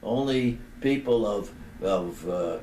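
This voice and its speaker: A man over eighty, a rabbi reminiscing in a deliberate, halting way with pauses between phrases. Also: fairly low pitch, lively intonation, mellow. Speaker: rabbi giving a personal remembrance. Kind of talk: unhurried reminiscing tribute talk. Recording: roomy, distant microphone, background noise.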